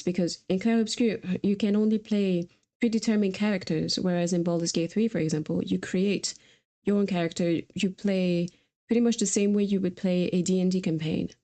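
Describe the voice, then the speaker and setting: A woman's voice: fairly low pitch, steady tone, moderate pace, mellow. A woman streamer chatting casually about video games in a conversational, easygoing way. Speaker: streamer host.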